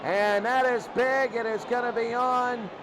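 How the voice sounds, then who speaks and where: A man doing live football play-by-play, excitable and emphatic, often repeating his phrases. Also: very high-pitched, a little slow. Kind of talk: live sports commentary. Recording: noisy recording with loud crowd. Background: loud crowd noise.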